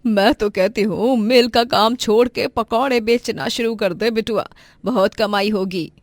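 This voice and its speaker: A woman's voice: on the high side, unhurried, dry recording. A woman voicing a doting, plain-spoken elderly village mother in a cartoon story, with rustic motherly speech. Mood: pleased.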